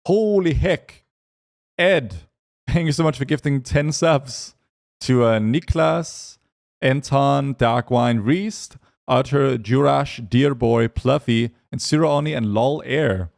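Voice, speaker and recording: A young man with a light Danish accent, talking in a relaxed, chatty way as he streams a game. His voice sits at an ordinary middle pitch, and he rambles casually between thanks and small talk. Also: slow pace, vocal fry. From Denmark. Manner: chatty, loose, reads names off chat. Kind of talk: casual chat with live chat.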